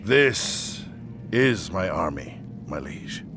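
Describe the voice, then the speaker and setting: A man's voice: low voice, very animated, drawn-out words, music in the background, full character voice. A man playing an earnest, respectful dwarf warrior in a fantasy video game, speaking formally. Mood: humble. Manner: formal, deferential. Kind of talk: scripted fantasy game acting.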